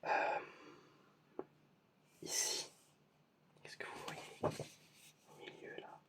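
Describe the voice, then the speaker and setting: Slow whispering ASMR eye examiner. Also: recorded from a distance. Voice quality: whispered, unvoiced. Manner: slow, patient, mock-puzzled questioning.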